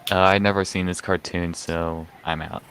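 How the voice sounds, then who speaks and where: A man's voice: low voice, little pitch movement, moderate pace, clear, resonant tone. A man chatting casually with friends during a game stream. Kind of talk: casual chat. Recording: loud background music over the voice.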